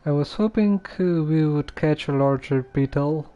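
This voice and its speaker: A man as a slow, mellow, skeptical young man. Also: fairly high pitch, dull audio, natural voice. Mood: doubtful. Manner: unhurried, dry, hesitant. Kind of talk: video game character dialogue.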